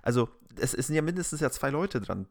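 A man co-hosting a casual podcast, talking in a rambling, halting and incredulous way. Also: mid-range voice, sing-song, vocal fry, no echo, studio quality. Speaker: podcast co-host.

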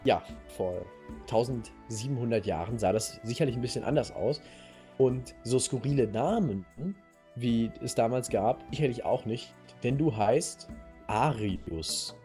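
A man hosting a podcast, telling a history story casually and playfully in a halting, slightly husky voice. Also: medium pitch, mellow, faint music behind. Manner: halting, playful storyteller addressing co-host directly. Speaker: podcast host.

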